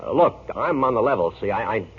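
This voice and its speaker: A shifty wise guy in a 1940s radio noir. His lively, swinging voice stammers and dodges in slangy phrases. It comes through a tinny, noisy old broadcast. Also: fairly low pitch, fast. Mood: nervous, defensive. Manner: stammering, evasive, colloquial. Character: shifty, wisecracking cafe owner caught by police.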